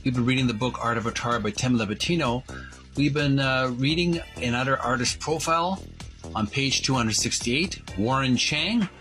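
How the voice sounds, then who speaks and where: Man hosting, reading aloud with earnest commentary. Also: medium pitch. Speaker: video channel host.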